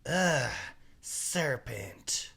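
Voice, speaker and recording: Guy doing a fussy indignant mama pigeon from a storybook, slow dramatic moaning, kinda creaky. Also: mid-range voice, expressive, sharp tone, pitched above the performer's own voice, exaggerated voice. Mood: shrieking, fretful. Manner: exasperated, dramatic complaining. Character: indignant, fretful mother pigeon, shrill and contemptuous. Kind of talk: storybook read-aloud character voice.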